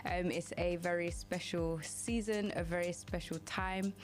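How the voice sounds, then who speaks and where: Woman radio host, low-pitched and halting, soft music bed behind. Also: steady tone. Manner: hesitant, many ums and restarts. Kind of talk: casual radio talk.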